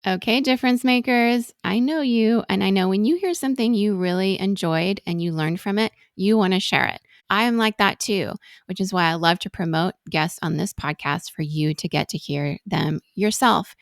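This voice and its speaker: A woman's voice: lowish voice, vocal fry, no room sound, clean studio sound. A woman from Venezuela hosting a podcast, talking to her guest and listeners. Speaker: podcast host. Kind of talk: interview and listener address.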